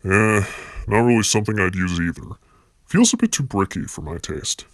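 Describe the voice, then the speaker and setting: A man voicing a gruff, blunt, sardonic card duelist with a menacing edge for an amateur anime-style series. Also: fairly low pitch, big pitch swings, moderate pace, no room sound, clean studio sound.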